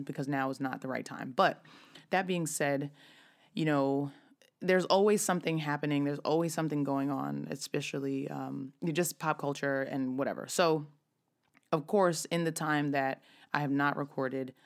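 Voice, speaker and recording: A woman in her thirties hosting her own podcast, her voice raspy and hoarse, talking casually with frequent restarts. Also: deep voice, steady tone, moderate pace. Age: 30s. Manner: chatty, with frequent restarts and fillers. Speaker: podcast host. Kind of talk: casual solo podcast talk.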